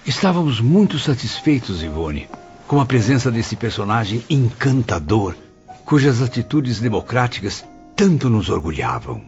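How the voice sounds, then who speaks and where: A man's voice: mid-range voice, sing-song, normal speed, a little mellow, faint music behind, close to an everyday voice. A man playing a courteous, inquisitive spirit apprentice in a Brazilian audio drama, formal and respectful, with a Brazilian accent. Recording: muffled, faint noise.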